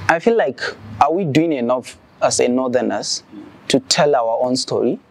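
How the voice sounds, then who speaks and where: Northern Nigerian male host, mid pitch, loose chatty delivery, faint background noise. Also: normal speed, vocal fry. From Kano, northern Nigeria. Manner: casual, many filler "like"s. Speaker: podcast host. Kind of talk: conversational interview.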